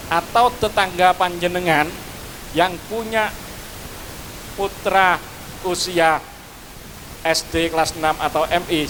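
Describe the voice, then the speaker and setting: A man's voice: on the high side, background noise. A man from Madura with a Madurese accent, giving a preachy school graduation speech outdoors to parents and students. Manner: preachy, addresses listeners with Javanese honorifics. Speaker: school speaker.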